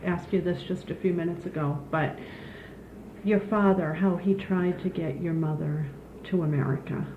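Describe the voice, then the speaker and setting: A woman's voice: fairly low pitch, steady tone, moderate pace, echoey room, phone-quality sound, slight background noise. A woman interviewing, asking short, plain questions. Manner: brief questions and quiet back-channel murmurs. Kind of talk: oral history interview.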